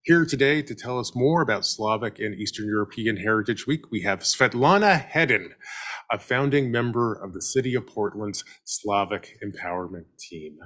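A man chairing a city council meeting, giving formal, measured remarks and introducing a speaker. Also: fairly low pitch, animated, fairly bright tone. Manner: measured, official.

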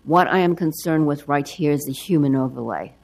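An older woman with a hoarse, froggy voice giving rambling public comment at a council hearing. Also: sits low, brisk, a little mellow. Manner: rambling, chatty. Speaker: public testifier.

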